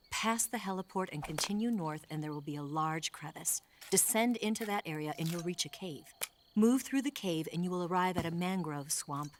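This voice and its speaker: An actress playing a composed, gently teasing female agent guiding a soldier in a video game cutscene. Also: lowish voice, slight background noise, natural voice.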